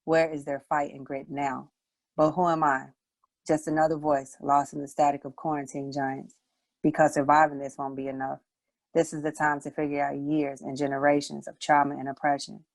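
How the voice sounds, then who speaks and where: A woman's voice: deep voice, flat delivery, normal speed, no echo. A woman reciting her own poetry as a spoken word piece. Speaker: poet, showcase participant.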